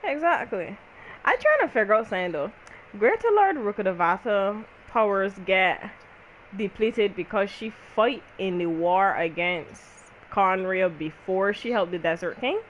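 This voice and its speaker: Female gaming creator, medium pitch, informal conversational musing during play, slight background noise. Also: animated, unhurried, highs cut off. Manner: informal, thinking aloud. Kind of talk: casual chat while playing a game.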